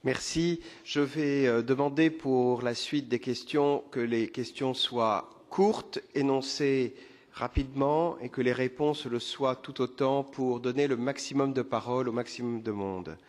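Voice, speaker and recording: A man moderating a panel discussion, speaking briskly to keep it on schedule. His voice echoes with a long reverb in a large hall. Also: medium pitch.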